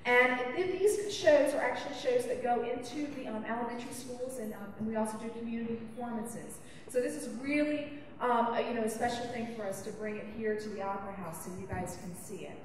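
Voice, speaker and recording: A woman who directs education at an opera company gives a welcome speech to a family audience, pausing often with ums and restarting her sentences. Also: on the high side. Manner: hesitant, many ums and restarts. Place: large opera house auditorium. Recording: roomy hall, distant, audible ambience.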